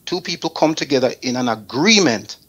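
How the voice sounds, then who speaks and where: A man's voice: mid-range voice, expressive, fairly quick, fairly bright tone, dull audio. A man with a Jamaican accent hosting a talk show, bluntly and emphatically driving his points home by repeating them. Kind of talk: opinionated radio talk aimed at advising artists.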